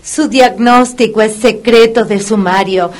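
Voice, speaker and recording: A woman's voice: on the high side, measured pace, close to an everyday voice. A woman acting in a comedic radio play as a bossy, exuberant dog whisperer with a formal, emphatic, cajoling manner. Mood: formal, assured.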